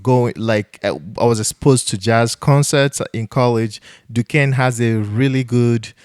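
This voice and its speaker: Brief: man from Nigeria, podcast host, mid-pitched, animated storyteller, dry sound. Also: a little slow, smooth, no room sound. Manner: animated and storytelling, with laughs and restarts. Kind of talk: casual conversation.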